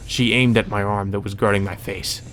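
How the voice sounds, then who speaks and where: A man's voice: lowish voice, steady tone, normal speed, a bit bright, slight background noise. A man reading visual novel narration aloud as a let's play narrator, in a dramatic storytelling manner.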